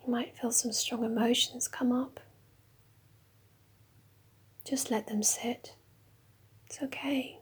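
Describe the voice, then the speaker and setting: A woman leading a guided meditation in a slow, soft, mostly whispered voice. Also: on the high side, fairly even intonation, breathy edge.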